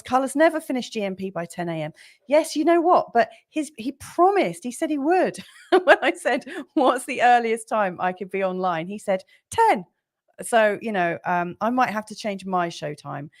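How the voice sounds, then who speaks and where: A woman hosting a casual live stream, with a slightly croaky voice and a rambling, chatty manner full of fillers. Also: medium pitch. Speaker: livestream host.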